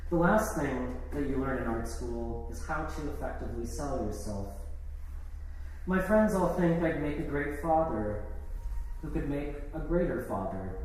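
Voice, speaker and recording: A man's voice: medium pitch, darkish tone. A man giving a live poetry reading of his own work, with casual introductions between pieces. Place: live event in an art gallery. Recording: echoey, noisy hall, distant microphone.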